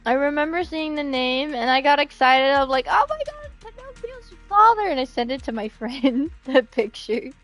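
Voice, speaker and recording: High-voiced, excitable woman streamer. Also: normal speed, few pauses, soft background music. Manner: giggly, excitable. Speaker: game streamer. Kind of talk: casual live-stream chat, reading out comments.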